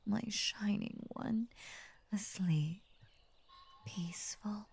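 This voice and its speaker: A woman voicing a tender, possessive lamia in an intimate ASMR roleplay, whispering slowly and soothingly with formal, coaxing words. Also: medium pitch, a little mellow, full character voice. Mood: adoring. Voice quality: breathy, whispery. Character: possessive, tender female lamia, half snake, doting and unnerving.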